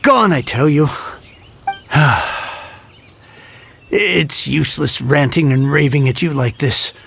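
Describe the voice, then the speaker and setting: A bitter, middle-aged grump of a book collector for a video game. His voice is fairly high yet creaky, and he carries on with theatrical self-pity and curt dismissiveness. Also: takes it slowly, a bit bright, full character voice. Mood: aggrieved, ranting. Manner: melodramatic, ranting, dismissive.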